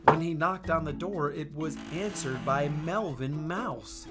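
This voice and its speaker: A woman hosting storytime, reading a children's picture book aloud in a playful, expressive way that speaks straight to listeners. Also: deep voice, measured pace, loud music behind the voice. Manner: playful, animated, addresses listeners directly. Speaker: storytime host and book reader.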